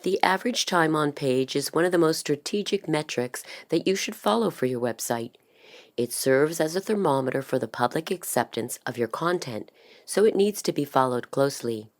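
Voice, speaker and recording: Very low-pitched woman narrator. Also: animated, moderate pace. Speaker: voice-over artist. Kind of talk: scripted explainer narration.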